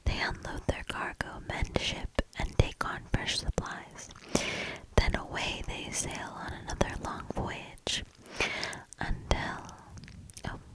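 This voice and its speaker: A woman doing soft ASMR storybook reading and quiet commentary in a breathy, gentle, hushed whisper. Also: low-quality recording. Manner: slow, gentle, hushed delivery.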